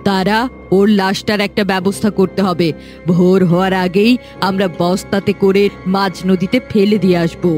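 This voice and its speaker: A woman's voice: mid-range voice, little pitch movement, moderate pace, darkish tone, natural voice. A woman playing a cruel, scheming middle-aged stepmother in a fairy-tale cartoon, scolding and menacing. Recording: music behind the voice.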